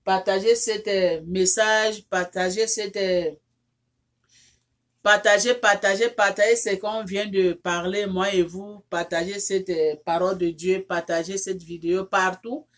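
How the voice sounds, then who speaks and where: A woman's voice: medium pitch, flat delivery, measured pace. A woman who is not a native French speaker, leading an informal prayer and appeal for her viewers, repetitive and fervent, with many restarts, who rises into insistent pleading as she prays. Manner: rises into loud insistent pleading when praying. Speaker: online counselor leading prayer.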